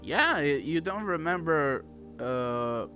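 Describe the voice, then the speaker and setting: Gaming host: man, bright forceful voice, slightly high, unhurried chatty commentary over soft music. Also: animated. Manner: chatty, playful asides, echoes the dialogue. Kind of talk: casual game playthrough commentary.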